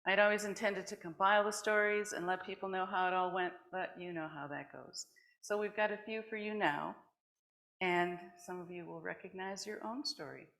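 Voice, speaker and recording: A woman's voice: fairly low pitch, normal speed, vocal fry, a bit bright. A woman presenting at a worship service, reading short personal stories aloud to a congregation.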